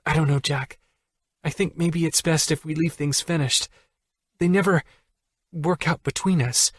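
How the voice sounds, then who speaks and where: A man reading an audiobook, voicing a flustered young woman in a higher, softer, breathless voice. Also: steady tone, measured pace, a little hiss or hum, natural voice. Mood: hesitant.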